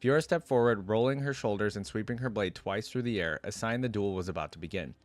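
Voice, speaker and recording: A man's voice: fairly low pitch, fast, no room sound, soft background music. A man hosting a reaction video, reading a story aloud and commenting in an informal, slangy way.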